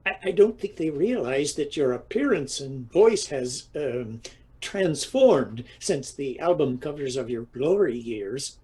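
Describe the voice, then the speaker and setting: A man's voice: medium pitch, animated, a little slow, mellow, husky. A man playing a wry, teasing husband of an aging diva in a comedic audio drama.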